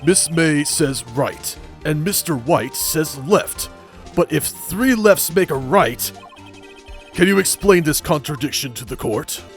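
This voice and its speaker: A man's voice: fairly high pitch, expressive, slow pace, faint music behind. A man voicing a stern, easily baffled old judge in a formal, declamatory way for an amateur game dub. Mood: formal, puzzled. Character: stern but easily baffled elderly male courtroom judge.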